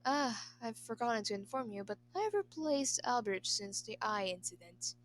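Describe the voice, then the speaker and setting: A woman voicing a smooth-talking young nobleman who acts a devoted retainer, softly and earnestly, in a story. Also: a little high, animated, moderate pace, slight background noise, natural voice. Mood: excited. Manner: soft, coaxing, earnest.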